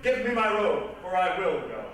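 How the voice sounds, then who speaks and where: A man's voice: high-pitched, big pitch swings, moderate pace, fairly bright tone, far from the mic. A man playing a proud, commanding Roman ruler in declamatory reader's theatre style. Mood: resolute. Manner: declamatory, imperious. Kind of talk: reader's theatre stage acting. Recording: echoey stage recorded from afar, noisy.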